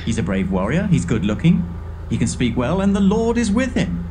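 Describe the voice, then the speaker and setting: A man's voice: on the high side, huge pitch swings, moderate pace, dark tone, slight background noise. An actor playing a keen male royal official making a recommendation in a dramatised audio Bible. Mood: admiring.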